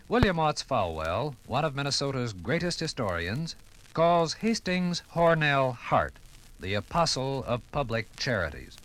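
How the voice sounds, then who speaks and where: A man giving scripted 1950s radio documentary narration with formal, deliberate, dramatic phrasing. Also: medium pitch, fairly bright tone, poor audio.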